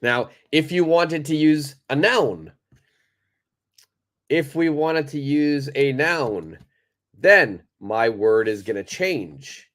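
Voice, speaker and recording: Slow, animated man teaching English. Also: a little high. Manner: slow, deliberate, repeats key words for learners. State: stuffed-up nose. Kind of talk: live online English lesson.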